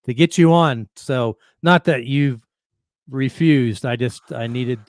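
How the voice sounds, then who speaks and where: A man hosting a talk show, speaking in a friendly, halting way with many restarts. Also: medium pitch, no room sound. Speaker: podcast host. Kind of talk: casual interview chat.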